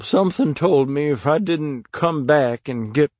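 A man's voice: on the high side, a little slow, darkish tone, dry recording, clean studio sound, voice pushed up. A man voicing a frightened accused man who pleads and sobs as he protests his innocence, in a storybook reading. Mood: despairing. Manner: pleading, tearful.

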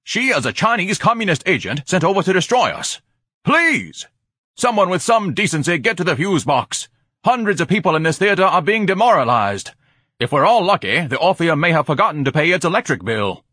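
A man voicing a pompous, melodramatic man who splutters with grandiose indignation, in a husky audiobook character voice. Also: high voice, big pitch swings, fairly quick, bright, forceful tone, no room sound, clean studio sound, voice pushed up, heavily acted. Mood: hysterical indignation. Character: pompous, hysterically outraged man ranting at the world.

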